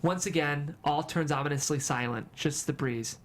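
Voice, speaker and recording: A screenplay narrator at a table read, with a medium-pitched voice. The stage directions are delivered as dramatic storytelling, scene by scene. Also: normal speed, slight background noise.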